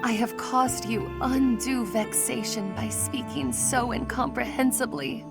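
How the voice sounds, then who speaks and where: Young noblewoman mage, high voice, formal and self-abasing, game dialogue, music behind. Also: fairly even intonation, measured pace. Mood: meek, uncertain. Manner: ornate, formal, apologetic phrasing. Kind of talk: English video game voice acting.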